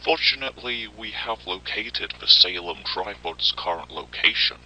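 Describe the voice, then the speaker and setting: Man acting a very deep, forceful government briefing officer. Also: lively intonation, normal speed, slight background noise, poor audio. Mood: grave, urgent. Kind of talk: scripted military briefing narration, analog horror.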